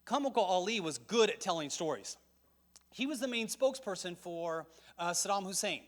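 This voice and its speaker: A man's voice: high voice, animated, moderate pace. A middle-aged man giving a conference talk to a church audience, conversational and joking, with asides. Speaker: missions conference speaker.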